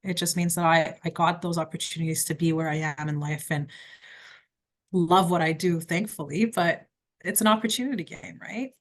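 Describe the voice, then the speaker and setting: A woman's voice: fairly low pitch, moderate pace. A middle-aged woman from Quebec, a criminal defence lawyer, giving direct, emphatic advice in an interview. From Quebec, Canada. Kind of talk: conversational interview answers.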